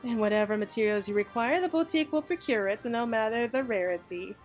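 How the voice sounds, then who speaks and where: A woman voicing a brisk, enthusiastic craftswoman from a fantasy game in a folksy manner. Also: fairly high pitch, soft background music, lightly acted. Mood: businesslike.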